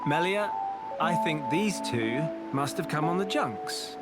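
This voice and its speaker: An actor playing an earnest, thoughtful young adventurer in a video game cutscene. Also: male voice, a little high, lively intonation, measured pace, over loud music. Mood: earnest, reasoning.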